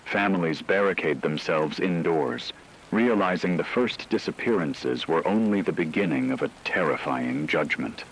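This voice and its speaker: A man's voice: low voice, animated, normal speed, slight background noise, low-quality recording. A man playing a grave radio news anchor, reading a horror broadcast in a solemn, formal, ominous way. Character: grave male radio news anchor reporting supernatural terror.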